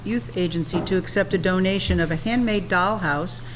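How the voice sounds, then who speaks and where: Woman council member, lower voice, formal motions, small pauses, faint room hum. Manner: measured, reads out agenda items with small hesitations. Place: town council chamber.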